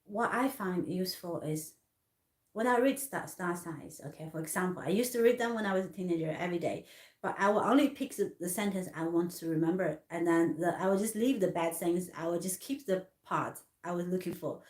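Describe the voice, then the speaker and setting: Halting woman from Hong Kong, lowish voice. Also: a little mellow, reverberant. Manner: halting, many restarts and fillers, non-native grammar. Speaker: artist vlogger. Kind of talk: casual personal storytelling.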